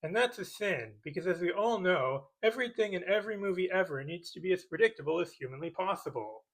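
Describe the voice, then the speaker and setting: Sarcastic, higher-voiced man doing commentary. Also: animated, quick pace, poor audio. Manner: sarcastic, pedantic. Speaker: host of a movie-criticism parody video. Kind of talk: scripted comedic commentary.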